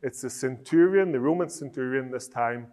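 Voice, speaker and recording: A man's voice: mid-range voice, darkish tone. Northern Irish man teaching preachers. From Northern Ireland. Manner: measured, explanatory, with frequent restarts.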